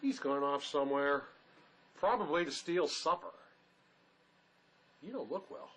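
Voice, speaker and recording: A man's voice: fairly high pitch, lively intonation, unhurried, fairly bright tone. An actor playing an anxious, protective male ex-con in a drama, earnest, fussing and full of questions. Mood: concerned. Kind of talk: dramatic script performance. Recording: faint background noise.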